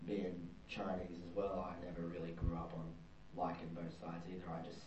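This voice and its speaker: A man's voice: low voice, monotone, normal speed, a little mellow. Young Australian man, distant and roomy. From New South Wales, Australia. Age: young adult. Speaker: interviewee, performing arts student. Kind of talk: reflective personal testimony. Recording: distant, dull, roomy with faint hum.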